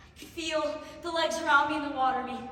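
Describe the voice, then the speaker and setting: An actress playing a distraught young refugee woman who survived a shipwreck, in a halting, emotive theatrical monologue with heavy pauses. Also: high-pitched, steady tone, sharp tone. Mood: tense, grave. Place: large reverberant hall or stage. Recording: very echoey hall, distant mic, noisy.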